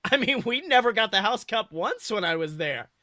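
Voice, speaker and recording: A man's voice: high voice, animated, normal speed, bright, forceful tone, no room sound, clean studio sound, pitched above the performer's own voice. A man voicing a wry, reassuring mother in a dramatic story reading.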